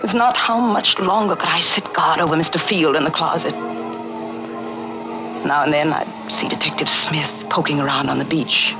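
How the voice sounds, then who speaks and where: A woman's voice: sits low, fairly even intonation, normal speed. An actress playing a guilty, guarded woman novelist in a 1940s radio thriller, with a dramatic delivery. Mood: anxious dread. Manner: dramatic, tense confessional delivery. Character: guilty, guarded woman mystery novelist narrating her crime. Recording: muffled old radio recording, loud music.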